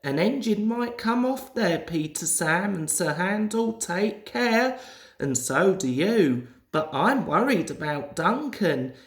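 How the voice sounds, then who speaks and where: A woman's voice: mid-range voice, lively intonation, slow pace. A woman voicing a friendly, hard-working male diesel engine in a storybook, earnest and fretful, in an echoey room. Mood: worried. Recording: roomy, echoing home recording.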